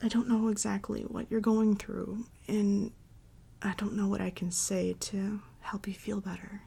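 A woman playing a tender, devoted girlfriend and mommy dom in an intimate ASMR roleplay, breathy and often whispering as she soothes her partner. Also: medium pitch, little pitch movement, heavily acted. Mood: soothing, sincere. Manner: soft, soothing, slow and affectionate.

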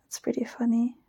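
A young woman with a Dutch accent whispering softly and shyly into a close microphone, giggling often and hesitating with many fillers. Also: a little high, creaky, husky, no room sound, muffled recording. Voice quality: soft whisper. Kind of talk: whispered personal ramble, ASMR-like.

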